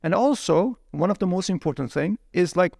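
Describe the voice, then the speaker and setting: A man whose first language is Chinese, telling his story as a guest in a casual interview. He is lively and laughs often, with plenty of "you know" fillers. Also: high voice, brisk, vocal fry, no echo, clean studio sound.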